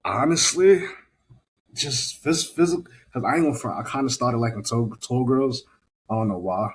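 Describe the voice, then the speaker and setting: A man chatting casually on a podcast, halting and repeating phrases, with slangy talk. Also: medium pitch, lively intonation, a little hiss or hum.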